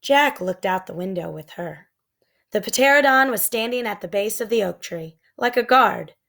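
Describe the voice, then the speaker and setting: A woman reading a children's story aloud as expressive narration, with measured, suspenseful phrasing. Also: mid-range voice, vocal fry. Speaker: storybook reader.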